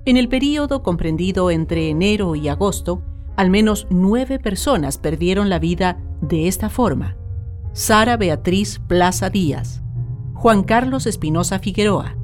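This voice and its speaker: A woman's voice: medium pitch, lively intonation, measured pace. A woman with a Chilean accent reads a scripted radio documentary narration in a solemn, formal manner. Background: music.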